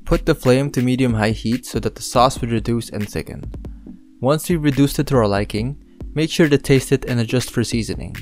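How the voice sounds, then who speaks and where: A man from the Philippines narrating his cooking channel in voice-over, giving relaxed step-by-step instructions with dry humor. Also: mid-range voice, a little fast, faint music behind.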